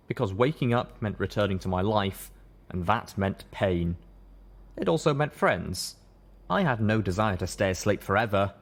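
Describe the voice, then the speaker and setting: A man reading a story aloud as a scripted narrator. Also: on the low side. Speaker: narrator, audiobook reader. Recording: echoey room, audible background noise.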